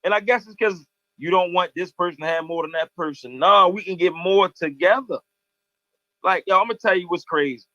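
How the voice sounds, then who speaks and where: A man's voice: sits high, brisk, pushed and bright, no room sound. A man in his thirties with an American accent, chatting earnestly in slangy, casual speech over a lo-fi video call. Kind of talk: casual chat, anecdotal. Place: indoor room, video call. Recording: dull lo-fi video call audio.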